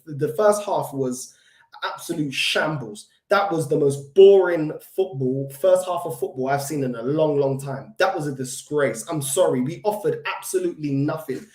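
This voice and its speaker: A man's voice: on the high side, normal speed, a little mellow. A man with a London accent hosts a solo football fan reaction. He is recovering from an illness, so his voice is not at full strength, and he talks emphatically, with fillers and repeated restarts. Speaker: football fan channel host. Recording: echoey room.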